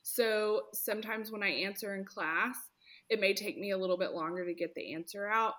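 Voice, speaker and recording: A woman speech therapist talking in an earnest, expressive way, often acting out examples as quotes. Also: medium pitch, moderate pace. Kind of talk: conversational interview.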